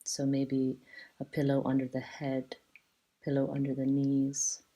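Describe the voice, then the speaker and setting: Very low, slow, dark-voiced woman guiding relaxation. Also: completely flat delivery, poor audio. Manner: soothing, measured, with long pauses between short phrases. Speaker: yoga nidra teacher. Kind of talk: guided relaxation meditation.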